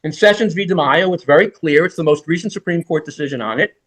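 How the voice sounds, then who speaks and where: A man's voice: fairly high pitch, fast talker, fairly bright tone. A man in his sixties, a defense lawyer, making an oral argument in court in an emphatic, rhetorical and repetitive way.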